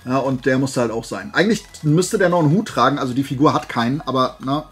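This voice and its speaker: A man with a husky, worn voice talks casually as a vlog host. He tells anecdotes in colloquial speech and slips into quoted dialogue. His throat sounds dry and tired from talking, at an ordinary male pitch. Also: fast, fairly bright tone. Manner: colloquial storyteller, acting out dialogue. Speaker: host of a flea market haul video.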